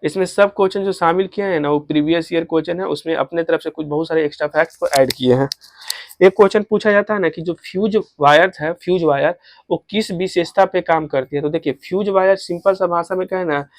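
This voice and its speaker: A man's voice: on the high side, fairly quick, no room sound. A man with a Bihari accent teaching exam questions as a tutor, repeating each question and answer and mixing in English terms.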